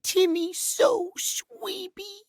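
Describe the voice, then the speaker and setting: A man's voice: very high-pitched, fairly even intonation, drawn-out words, vocal fry, darkish tone, no room sound, studio quality, heavily acted. A man voicing a cute, needy, childlike cat creature in a video game, with babyish, whiny, pouty delivery. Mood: pleading. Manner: babyish third-person cutesy talk, whiny. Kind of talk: video game character voice acting.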